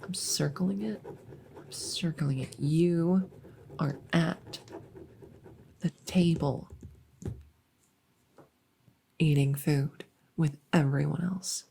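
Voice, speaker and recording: A woman playing a silly, harmless female monster under the bed in an ASMR roleplay, soft and often whispering, rambling and repeating herself with playful earnestness. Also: low-pitched, takes it slowly, gravelly, pauses often, slight background noise, heavily acted. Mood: scheming, suggestive. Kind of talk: whispery ASMR audio roleplay, addressing the listener.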